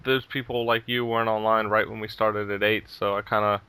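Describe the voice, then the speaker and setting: A man hosts a casual gaming podcast with a bright, crisp voice. He chats easily and often chuckles between remarks. The sound is dry and close on the mic. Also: fairly low pitch, little pitch movement, a little fast, no echo. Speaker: podcast host.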